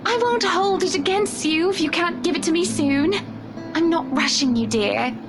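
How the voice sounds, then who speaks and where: A woman's voice: very high-pitched, moderate pace. A woman voicing an affectionate, assertive wealthy lady in a visual novel, doting and teasing as she takes charge. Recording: music behind the voice.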